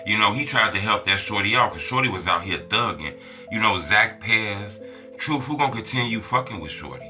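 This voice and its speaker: Deep-voiced American man, slangy street host. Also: animated, fairly quick, bright, forceful tone. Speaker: interviewer and channel host. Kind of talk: casual street interview talk. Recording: music behind the voice.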